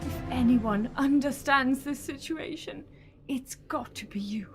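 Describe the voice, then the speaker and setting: A woman's voice: sits high, unhurried, soft background music. A woman acting as a desperate, bitter renegade villainess in an audio drama, with a melodramatic delivery. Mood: desperate pleading. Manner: melodramatic, pleading.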